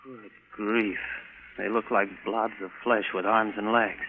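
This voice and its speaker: A man's voice: lowish voice, steady tone, a little slow, background noise. A man with an American accent playing a confident, easygoing young rocket captain in a 1950s radio drama. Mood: brisk, wary. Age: young adult. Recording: vintage radio, narrow band, music behind.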